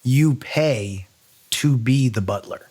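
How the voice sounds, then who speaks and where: A man's voice: mid-range voice, slow pace, darkish tone. Casual, joking male podcast host. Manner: joking, conversational. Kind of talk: casual chat.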